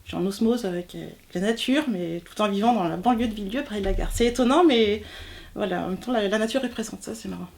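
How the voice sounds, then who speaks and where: Creaky-voiced woman in her forties, casual storytelling. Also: medium pitch, fast, darkish tone. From southern Manche, Normandy, France. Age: 40s. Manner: hesitant, searching for words, informal. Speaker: interviewee, textile artist.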